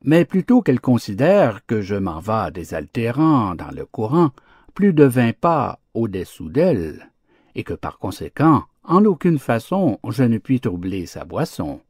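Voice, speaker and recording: A man's voice: mid-range voice, very animated, unhurried, a little mellow, breathy edge, dry recording, clean studio sound. A man voicing a meek, innocent young lamb in a storybook fable, polite and pleading. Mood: deferential.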